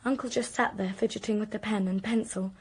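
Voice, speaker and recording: An actress playing an anxious, articulate young British woman in a radio drama, with a precise, expressive delivery. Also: medium pitch, a little fast, breathy edge, lightly acted. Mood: tense recounting. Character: anxious, articulate young niece recounting a crime.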